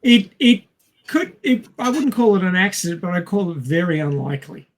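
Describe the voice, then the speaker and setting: A man's voice: sits high, big pitch swings, fairly quick. A man in a casual podcast discussion, speaking hesitantly and carefully qualifying his points. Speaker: podcast panelist.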